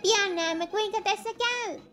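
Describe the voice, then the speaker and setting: A woman voicing an earnest, excitable young race reporter in a cartoon fandub. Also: very high voice, over music. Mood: excited commentary.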